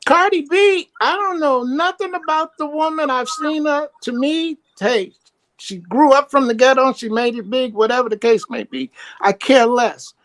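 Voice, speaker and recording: A woman's voice: high voice, normal speed. A woman calling in to a talk show, blunt and opinionated, with a teasing edge. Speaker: caller. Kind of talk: casual chat.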